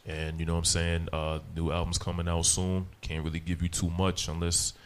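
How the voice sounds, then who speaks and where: A man with a deep voice talking casually as a rapper, peppering his talk with "you know what I'm saying.". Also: completely flat delivery, a little fast. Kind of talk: casual chat.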